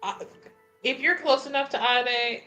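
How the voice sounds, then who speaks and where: A woman's voice: high-pitched, fairly even intonation, a little slow, pushed and bright, faint music behind, low-quality recording. A woman chatting casually and playfully as a player in a tabletop roleplaying game.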